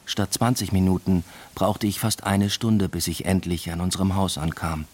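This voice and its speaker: Brooding dad narrating a radio play, really low husky voice, thoughtful and literary. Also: male voice, level tone, moderate pace, darkish tone. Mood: brooding, reflective. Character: brooding, evasive father narrating his own story. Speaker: narrator and lead character. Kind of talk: literary first-person radio drama narration.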